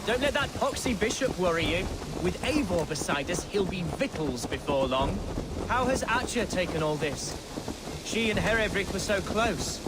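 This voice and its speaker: A man's voice: high-pitched, very animated, moderate pace. A man playing an earnest, unsure young Anglo-Saxon nobleman in a video game, somewhat hesitant in manner. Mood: confident, concerned. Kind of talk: video game cutscene dialogue. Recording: clear outdoor ambient noise behind.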